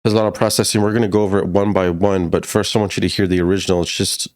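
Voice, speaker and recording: A man with a deep, low-set voice narrates a music production tutorial. He explains each step informally, in a casual teaching style. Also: little pitch movement, rapid-fire, noisy background.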